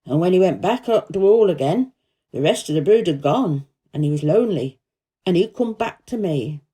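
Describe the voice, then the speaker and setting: Storybook old Yorkshire gardener, played by a woman: rough, crusty, rustic, soft spot underneath, expressive pitch, mellow tone. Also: normal speed. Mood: fond, chatty. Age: older. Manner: crusty, rustic dialect speech. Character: gruff old Yorkshire gardener, surly but fond. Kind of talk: storybook character reading.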